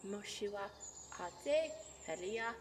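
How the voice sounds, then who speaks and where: A woman voicing a shy, gentle young nature fairy who teaches affectionately in a soft ASMR roleplay. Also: medium pitch, expressive, takes it slowly, a little hiss or hum. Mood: gently instructing. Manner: soft, encouraging, affectionate, giggly. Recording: birdsong and outdoor ambience behind. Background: birdsong ambience.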